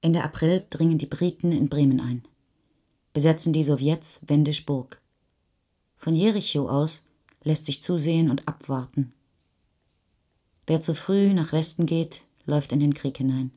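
A woman's voice: sits low, a little slow, pauses often, mellow, husky. A woman narrating a literary chronicle for radio in a sober, factual manner.

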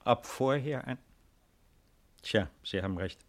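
An actor playing a proud, resigned baron awaiting arrest in a 1950s German radio play, speaking in a measured, formal, hesitant way. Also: male voice, medium pitch, natural voice. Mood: reflective.

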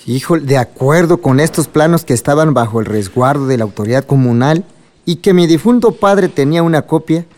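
A man's voice: fairly high pitch, expressive, fairly quick, a little mellow, lightly acted. A man playing an earnest, courteous village land commissioner formally addressing an assembly in a radio drama. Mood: concerned. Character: earnest male village communal lands commissioner chairing an assembly.

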